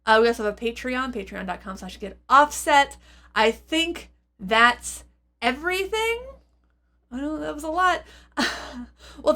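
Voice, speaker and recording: A woman's voice: fairly high pitch, a bit bright. A woman giving a casual, unscripted review to viewers, speaking in an informal, rambling way and often interrupting herself. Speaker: host of a guitar effects pedal review channel.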